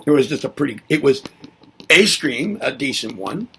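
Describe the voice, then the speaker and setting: A man's voice: medium pitch, sing-song, normal speed, creaky, pushed and bright, slight background noise, low-quality recording. A man giving a reflective spoken lesson, musing aloud and asking himself rhetorical questions before answering them. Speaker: presenter.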